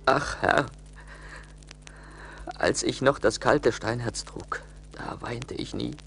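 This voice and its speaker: An actor playing an emotional, plaintive young charcoal burner full of remorse in a radio play. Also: male voice, medium pitch, takes it slowly, vocal fry, a bit bright, husky, exaggerated voice. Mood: despairing. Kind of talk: theatrical radio play acting.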